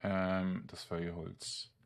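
A man giving casual, halting game commentary, rambling as he thinks aloud. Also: low-pitched, completely flat delivery, gravelly. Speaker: let's player. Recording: game music behind the voice. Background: video game music.

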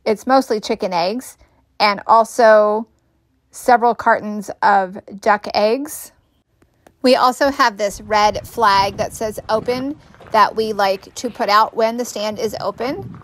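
A woman's voice: fairly high pitch, slow, dry recording. A woman in her thirties chatting upbeat in a casual vlog, filmed outdoors at a rural farm stand on a phone held at arm's length. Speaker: homesteading vlogger.

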